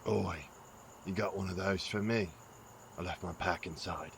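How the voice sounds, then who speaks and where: An actor playing a gruff, awkward middle-aged father with a gravelly voice and terse, halting speech in an audio drama. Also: low voice, steady tone, a little hiss or hum. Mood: gruff, casual.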